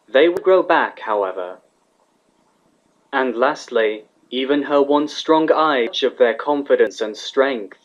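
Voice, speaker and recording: Man, medium pitch, scripted story narration, slightly dull lo-fi recording. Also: lively intonation, slow pace.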